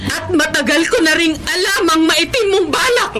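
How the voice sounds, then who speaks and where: A woman's voice: very high voice, expressive, normal speed, over music. An actress playing a betrayed, indignant middle-aged mother with a Filipino accent, stern and accusing in melodramatic radio drama style.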